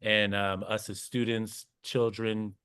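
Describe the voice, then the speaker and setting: A man's voice: on the low side, level tone, slow pace, fairly bright tone, clear, resonant tone. A young man speaking casually and earnestly as an interview guest, telling his own story in a self-reflective way. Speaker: podcast guest, nightlife and members-club professional. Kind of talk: casual interview talk, personal career story.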